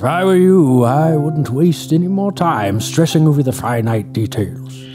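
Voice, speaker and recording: A man's voice: a little high, expressive, moderate pace, close to an everyday voice. An actor playing an obsessive retired male doctor in an audio drama, speaking in a clipped manner. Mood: urgent. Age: older. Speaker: retired scholar. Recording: music score underneath.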